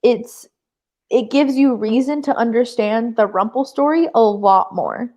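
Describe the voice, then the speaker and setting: Woman, high voice, casual book chat, live show co-host, emphatic, animated, conversational filler words. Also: measured pace.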